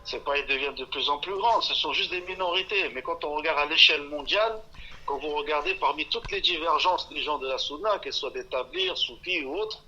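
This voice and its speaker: A man's voice: a little high, fairly quick, sharp tone, slightly rough. A man on the phone as an interview guest, blunt and categorical, cutting in over the host. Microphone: telephone. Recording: muffled phone line.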